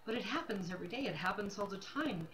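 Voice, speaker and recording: A woman's voice: mid-range voice, expressive, fast talker, noisy background. A woman of fifty-nine talking casually and candidly to her livestream audience, repeating phrases for emphasis. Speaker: livestream host, self-employed realtor. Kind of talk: casual personal talk to camera.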